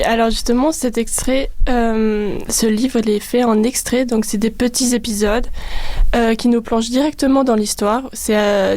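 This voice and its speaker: A young woman student in a casual discussion, hesitant and informal, filling pauses often. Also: fairly high pitch, dark tone, no echo. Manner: hesitant, many fillers, informal wording. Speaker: student panelist.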